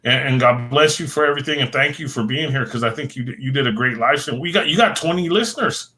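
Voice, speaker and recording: Bright-voiced host losing his voice. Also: mid-range voice, quick pace. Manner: rambling, repeats words, thanks viewers by name. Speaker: livestream host. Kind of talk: casual livestream chat.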